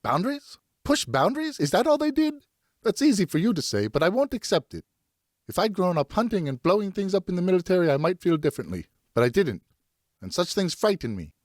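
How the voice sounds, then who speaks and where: A man's voice: sits high, very animated, brisk, dry recording, clean studio sound, voice pushed up. A man voicing a distraught, angry French wife and mother in an audiobook, anxious, indignant and pleading as she scolds. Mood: hurt, indignant. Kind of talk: audiobook character acting.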